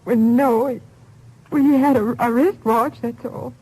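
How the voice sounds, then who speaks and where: An actress playing a grieving, bewildered small-town widow, tearful and halting, with folksy speech, in a 1950s radio drama. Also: female voice, a little high, fairly even intonation, warm tone, breathy edge, low-quality recording. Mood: shaken, hesitant. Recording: old 1950s radio recording.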